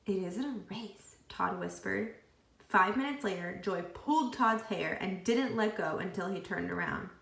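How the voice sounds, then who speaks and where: Woman, storyteller, expressive read-aloud, creaky edge, mid pitch, roomy echo. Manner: animated, deliberate phrasing with acted dialogue.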